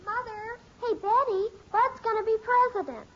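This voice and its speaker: An actress playing a nosy, teasing, excitable young daughter in a 1950s radio sitcom, often sarcastic. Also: female voice, extremely high pitch, moderate pace. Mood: excited. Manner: pestering, sarcastic, exclamatory. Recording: dull, hissy old radio recording.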